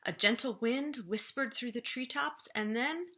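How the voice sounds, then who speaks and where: A woman reading a children's storybook aloud as a librarian would, expressively, with dramatic pauses and slower, hushed phrasing for suspense. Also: on the high side.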